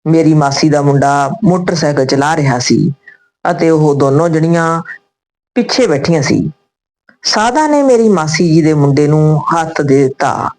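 Low-pitched woman storyteller. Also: lively intonation, moderate pace, a little mellow, low-quality recording. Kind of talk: scripted story narration with voiced dialogue.

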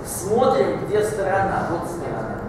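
A man's voice: high voice, very animated, a little slow. An older man teaching at a blackboard, explaining step by step in an instructive way, with blunt corrections and short commands. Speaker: mathematics teacher. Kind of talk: explaining a geometry problem at the blackboard. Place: classroom with a large blackboard. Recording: echoey hall, distant mic, noisy room.

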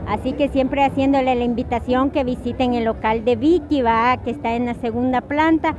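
A Guatemalan woman in her forties talking warmly in a street interview, giving thanks and sending greetings, recorded outdoors on a busy city square. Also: sits high, steady tone, moderate pace. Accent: Guatemalan Spanish. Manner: warm, grateful, sending blessings and greetings. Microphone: clip-on mic, phone camera close. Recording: slightly dull sound, loud crowd behind. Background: crowd chatter.

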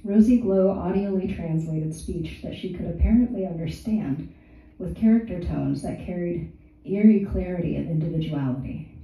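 A woman's voice: lowish voice, normal speed, warm tone. Chatty woman author, echoey distant gallery. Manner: chatty, hesitant fillers, self-deprecating. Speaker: author reading at open mic. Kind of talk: casual talk and story reading. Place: small art gallery, indoors.

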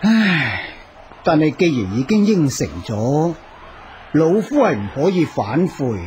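A slow, measured old sage. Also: male voice, on the high side, very animated, darkish tone, slight background noise. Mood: weary, pondering. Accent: Hong Kong Cantonese. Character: old male feng shui master, learned and composed. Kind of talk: radio drama acting.

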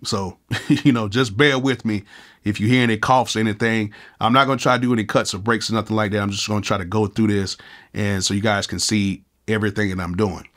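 A man in his thirties with a cold and a nasal voice, casually walking through music software in a laid-back, slangy way from his home studio. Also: lowish voice, fairly even intonation, brisk. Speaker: music producer and YouTube host.

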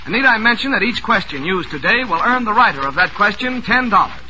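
A man's voice: high-pitched, lively intonation, brisk, pushed and bright. A man hosting a 1940s radio quiz show, with genial, joking announcer patter. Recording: noisy old radio broadcast recording.

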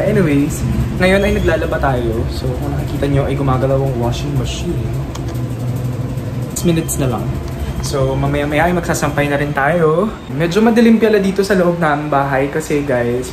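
A man's voice: fairly high pitch, noisy background. A man in his thirties with a Filipino accent, chatting casually and animatedly to a phone camera at arm's length in a small apartment room. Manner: chatty, animated, laughing asides, mixing English into Filipino. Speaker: vlogger. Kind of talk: casual daily vlog chat.

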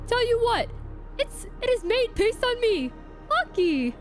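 A woman's voice: extremely high pitch, big pitch swings, a little slow, soft background music, voice pushed up, full character voice. A woman voicing a chummy, boastful clock mascot from a game in a theatrical, sing-song way. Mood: chummy, eager.

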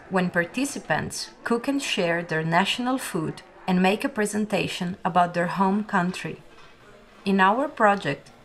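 A woman in her late twenties from Bosnia with a Bosnian accent, narrating a scripted documentary in a reflective, measured manner. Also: lowish voice. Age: late 20s. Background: music.

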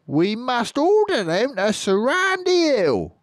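A man reading a storybook aloud and voicing a cruel, cunning male farmer with loud, sneering menace. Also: extremely high pitch, wildly swooping pitch, takes it slowly, no echo, clean studio sound, voice pushed up, heavily acted. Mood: menacing.

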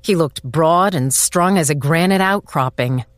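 A woman's voice: sits low, a little slow, no room sound. A woman playing a determined, wry small-town police chief who narrates a dramatized audiobook in a firm, dry way. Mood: guarded, reflective. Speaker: narrator and lead character.

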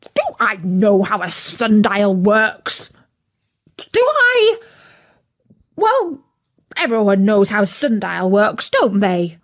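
Woman putting on a squawky indignant turkey, high and jumpy, fussing and complaining, storybook voice. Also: very animated, a little slow. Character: impatient hungry male turkey, squawking and cross.

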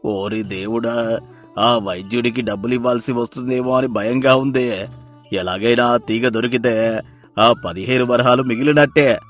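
A man's voice: mid-range voice, fairly bright tone, natural voice. Man acting stubborn grumbling miser farmer. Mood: anxious grumbling. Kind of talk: dramatized moral story acting.

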